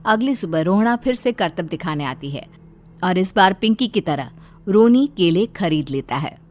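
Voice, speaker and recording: Steady woman storyteller. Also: on the low side, moderate pace. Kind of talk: scripted storybook narration.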